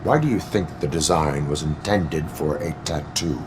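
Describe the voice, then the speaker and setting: A stoic machine-man of the law for a video game. The voice sits very low, with clipped, formal, deadpan phrasing. There is faint noise in the background. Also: moderate pace. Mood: flat, businesslike. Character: stoic male cyborg police officer, formal and dutiful.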